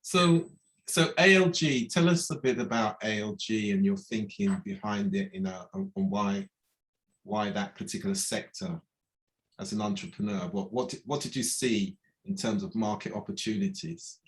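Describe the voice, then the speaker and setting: A man's voice: lowish voice, moderate pace, a bit bright, poor audio. A man hosting a casual interview over a video call, friendly, with many fillers and brief acknowledgements. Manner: friendly, lots of fillers and backchannel. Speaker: interview host.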